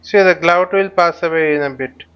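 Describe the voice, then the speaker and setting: A man teaching a flight simulator tutorial, speaking haltingly and often repeating words as he explains. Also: fairly high pitch, smooth. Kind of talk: spoken tutorial while flying a simulator. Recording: dull lo-fi microphone sound.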